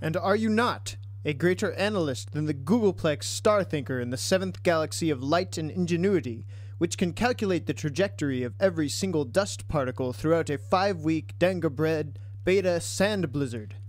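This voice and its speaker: A man's voice: a little high, moderate pace, close to an everyday voice. A man playing an earnest, impatient computer programmer in a science fiction radio drama, speaking formally with some exasperation.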